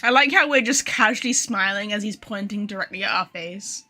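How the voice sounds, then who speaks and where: A woman game streamer giving casual, joking live commentary, sarcastic and quick to swear. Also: mid-range voice, pushed and bright, faint music behind.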